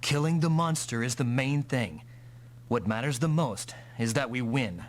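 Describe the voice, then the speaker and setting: An actor playing an earnest male mech pilot hero with a husky voice in an anime-style game dub. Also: mid-range voice, normal speed, lightly acted. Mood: determined.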